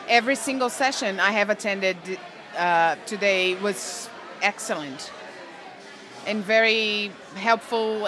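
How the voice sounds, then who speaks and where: A woman from Brazil, answering interview questions enthusiastically at a teaching conference and pausing often on "uh". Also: medium pitch, fairly bright tone, a little hiss or hum. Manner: enthusiastic, with frequent "uh" pauses. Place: busy conference venue.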